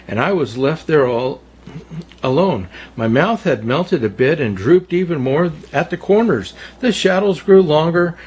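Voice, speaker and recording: A male storyteller reads to children in a theatrical, deliberate style. His voice is a little high and husky, with very lively rises and falls through each phrase. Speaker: storyteller, Santa story-time host. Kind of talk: children's storybook read-aloud.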